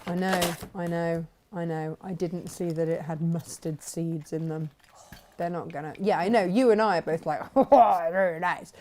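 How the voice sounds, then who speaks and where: A woman's voice: fairly low pitch, normal speed, warm tone, slight background noise. A woman co-hosting a casual crafting chat, chatty and playful, laughing often as she tells stories. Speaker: co-host.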